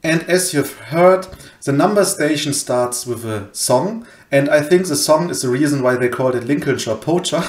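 A man's voice: a little high, animated, moderate pace, few pauses. A man presenting an informal educational slide lecture as a voice-over, recorded indoors. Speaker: presenter of an educational cryptology channel. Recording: roomy, echoey home recording.

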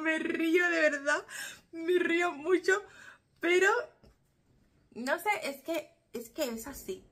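A woman's voice: high-pitched, fairly bright tone. A woman in her thirties with a Cuban Spanish accent, talking casually and animatedly to camera from a small room, laughing easily. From Cuba. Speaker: vlogger. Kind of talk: casual explanatory vlog. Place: small room indoors, seated in armchair. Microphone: camera in front, medium close.

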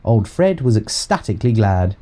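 A man's voice: on the low side, very animated, normal speed, warm tone. A man narrating a children's audiobook, reading rhyming verse rhythmically and expressively. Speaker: storyteller reading to young children.

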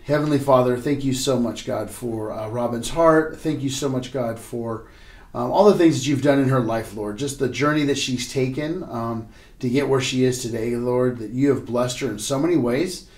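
Male pastor host, casting: mid-pitched, friendly, filler-heavy, roomy echo. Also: moderate pace, darkish tone. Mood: prayerful. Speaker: pastor and interviewer. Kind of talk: interview and spoken prayer.

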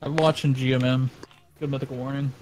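A woman's voice: extremely low pitch, flat delivery, a little fast, darkish tone, faint music behind, rough recording. A woman in casual, goofy, teasing chat on a livestream.

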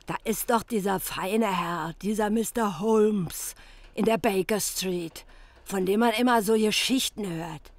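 A woman's voice: mid-range voice, measured pace, no echo, natural voice. An actress playing a shrewd, bossy working-class wife and mother with a Berlin accent in a German audio drama, blunt and colloquial. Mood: scheming.

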